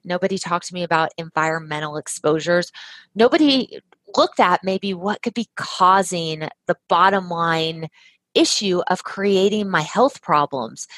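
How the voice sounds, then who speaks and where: A woman's voice: on the low side, fairly bright tone, dry recording. Woman guest, earnest and emphatic, recounting her story, dry close sound. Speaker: guest, health practitioner. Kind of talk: conversational storytelling.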